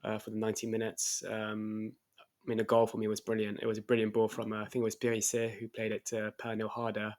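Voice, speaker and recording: A fairly low-voiced man chatting on a fan podcast, his voice stuffy and nasal from a cold. He talks quickly in a level, unvaried tone. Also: monotone, a little mellow. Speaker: podcast co-host. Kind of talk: casual chat.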